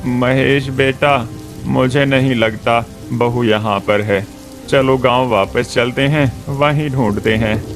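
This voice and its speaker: Older village uncle, voiced by a man, medium pitch, fatherly, measured, cartoon drama, music behind. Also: steady tone. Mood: resigned. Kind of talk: Hindi cartoon story voice acting.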